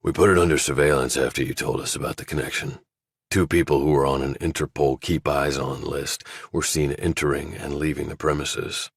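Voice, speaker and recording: A man voicing a stern retired general who runs a covert office, speaking in a commanding, deliberate way as audiobook dialogue. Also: very deep voice, steady tone, slightly rough, dry recording, clean studio sound, full character voice. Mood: grave, businesslike.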